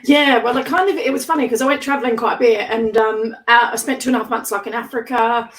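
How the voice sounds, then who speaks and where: A woman guest on a casual video-call interview, slightly hoarse, chatting with lots of ums and easy laughs. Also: on the high side, steady tone, fast, fairly bright tone, far from the mic, rough recording. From Australia.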